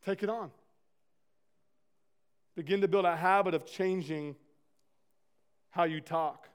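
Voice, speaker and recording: A man in his thirties preaching a sermon as pastor, loud, passionate and earnest. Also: high voice, steady tone, slow pace, smooth.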